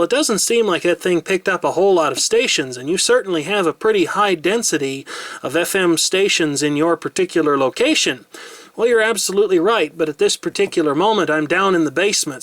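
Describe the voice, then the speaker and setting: A man with a fairly high voice talks through a radio test in a steady, explanatory manner. He is in a brick-walled basement, recorded on a camera mic, and the sound is close and dry, with little room echo. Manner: measured, explanatory. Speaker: radio reviewer. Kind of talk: demonstration talk. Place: home basement with brick walls.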